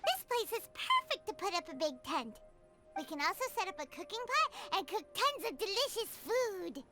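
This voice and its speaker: A woman voicing a tiny, excitable, childlike fairy companion in a video game, who talks about herself in the third person. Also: normal speed, over loud music. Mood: eager. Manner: childlike, squeaky, refers to self in third person.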